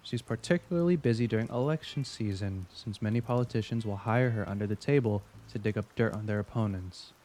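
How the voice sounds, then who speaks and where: A man's voice: lowish voice, brisk, hardly any pauses, a little mellow, faint music behind, natural voice. A man playing a chatty veteran investigator in an audio drama, giving a briefing in a casual, wry way.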